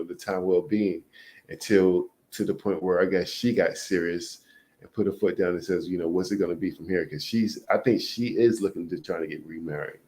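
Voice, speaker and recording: A man's voice: low-pitched, darkish tone. A man in his early forties talking frankly in a rambling casual monologue, full of fillers and swearing. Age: 40s. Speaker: vlogger.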